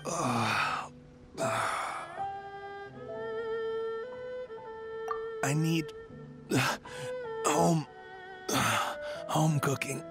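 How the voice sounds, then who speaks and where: A man voicing a weary, bewildered villager in a video game, speaking in a halting, dazed manner. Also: on the high side. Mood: weak, famished. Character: weak, bewildered village man just woken from ordeal. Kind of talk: video game character acting. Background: game music.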